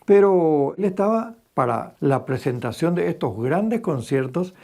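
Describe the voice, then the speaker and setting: Higher-pitched, gravelly man. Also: very animated, measured pace, a little mellow. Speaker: researcher interviewed for a documentary. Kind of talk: spontaneous interview recollection.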